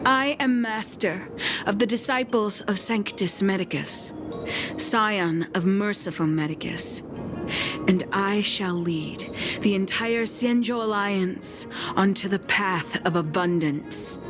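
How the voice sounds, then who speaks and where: An actress playing a calm, proud woman leader of a secret sect in a video game, with formal, measured speech over music. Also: mid-range voice, lightly acted. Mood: solemn, earnest. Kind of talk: dramatic video game voice acting. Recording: loud background music under voice.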